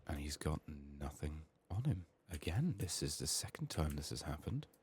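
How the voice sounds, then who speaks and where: A soft-spoken man murmuring through an ASMR game playthrough, often dropping into whispers, his voice a little strained from being sick. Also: a bass voice, very animated, moderate pace. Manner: murmured thinking aloud. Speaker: ASMR gameplay streamer. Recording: faint noise, keyboard typing and clicks. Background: keyboard typing, clicking, faint noise.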